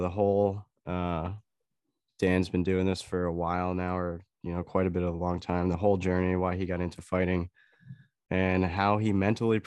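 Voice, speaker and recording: A young man hosting a podcast, sounding congested from a cold, chatty and relaxed, laughing often. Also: low voice, clean tone, no echo, studio quality. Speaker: podcast host. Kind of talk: casual podcast chat.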